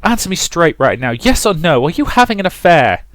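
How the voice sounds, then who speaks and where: Man voicing an angry, high-pitched jealous young fiancée. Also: very animated, brisk, fairly bright tone, no room sound. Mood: accusing. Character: furious jealous young fiancée in a visual novel.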